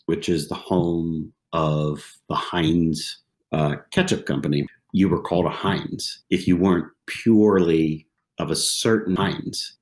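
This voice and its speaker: A man's voice: low-pitched, darkish tone. A man in his forties from southwestern Pennsylvania, a podcast guest telling stories in a casual, conversational way, often repeating phrases and tagging lines with "right?". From southwestern Pennsylvania, USA. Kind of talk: casual interview storytelling.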